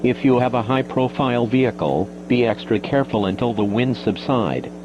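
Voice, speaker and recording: A man's synthetic-sounding voice reads a scripted emergency weather bulletin in a formal, measured way, like an automated announcer. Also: mid-range voice. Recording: muffled noisy weather-radio broadcast with hum.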